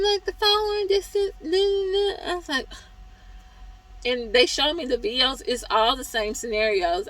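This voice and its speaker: A woman vlogging as a truck driver, hoarse from talking, venting in a chatty, exasperated way about her job. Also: a little high, a bit bright, rough recording. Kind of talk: casual vlog review.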